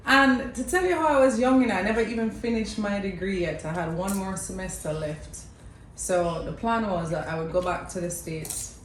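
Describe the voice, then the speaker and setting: A young Jamaican woman casually telling a family story to camera, chatty and lively, laughing often between lines. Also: fairly low pitch. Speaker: mother telling her son's birth story. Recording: echoey room with faint background noise.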